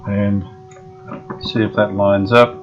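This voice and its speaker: A man's voice: fairly low pitch, slow, faint music behind. A man restoring an old radio, thinking aloud as he talks through each step. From Australia. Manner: relaxed, thinking aloud with frequent fillers. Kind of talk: hands-on workshop narration. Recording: dull lo-fi workshop audio.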